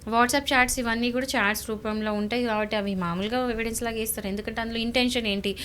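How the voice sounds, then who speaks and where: A woman with a Telangana Telugu accent gives legal advice in an emphatic, conversational way. Her voice sits fairly high. She repeats points and poses rhetorical questions, with some faint noise in the recording. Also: steady tone, quick pace, a bit bright. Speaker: lawyer, guest legal expert.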